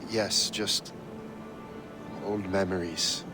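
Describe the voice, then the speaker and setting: Low-voiced, brooding young man. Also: steady tone, extremely slow, music in the background. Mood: uneasy, hesitant. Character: young male former assassin, brooding, conflicted, determined. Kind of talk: video game character acting.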